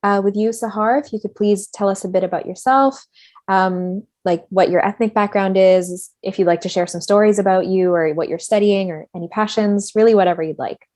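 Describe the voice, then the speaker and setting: A woman moderating a panel over a video call, talking in a casual, friendly way with many fillers, a little tired from fasting. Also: medium pitch, steady tone, a little mellow, no room sound, clean studio sound.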